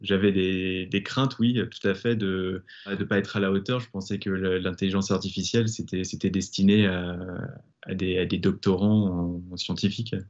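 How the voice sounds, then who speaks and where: Man, thirties, lowish voice, measured, stumbling repeats, muffled recording. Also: little pitch movement, a little mellow. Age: 30s. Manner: measured, repeats words while searching. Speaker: former banker, now data consultant. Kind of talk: testimonial interview.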